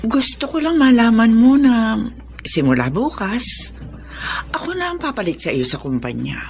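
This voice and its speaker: A woman's voice: on the high side, sing-song, normal speed, darkish tone. An actress playing a middle-aged, domineering, falsely sweet Filipino stepmother in a radio drama. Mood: smug, cold. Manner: falsely sweet, condescending, self-important. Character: scheming, domineering stepmother taking over the family company.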